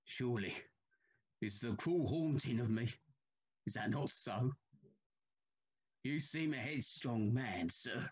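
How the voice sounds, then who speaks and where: An actor playing an anxious, haunted older railway signalman in a Victorian ghost story, troubled and halting, with formal old-fashioned speech. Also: mid-range voice, expressive, husky, dull audio. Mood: despairing, pleading.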